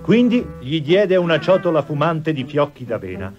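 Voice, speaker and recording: A man's voice: a little high, sing-song, moderate pace. A man reading scripted storybook narration for a cartoon fairy tale. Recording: dull lo-fi TV audio, music behind.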